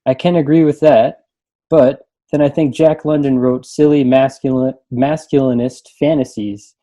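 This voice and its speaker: Uomo dalla voce morbida e pacata. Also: male voice, mid-range voice, fairly even intonation, normal speed, dark tone. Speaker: librarian reading book reviews aloud. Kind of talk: casual group chat and reading aloud.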